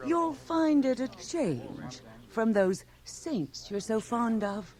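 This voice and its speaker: An actress playing an imperious, self-possessed society widow in a radio drama, commanding, clipped and condescending. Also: female voice, a little high, big pitch swings, slow pace, a little mellow. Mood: imperious, impatient.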